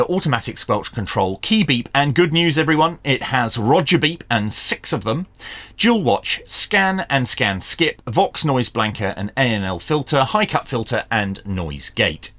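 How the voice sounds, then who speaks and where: A man's voice: medium pitch, a bit bright. A man presenting an unboxing product review in a plain-spoken, informal, opinionated way. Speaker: reviewer and presenter of radio gear.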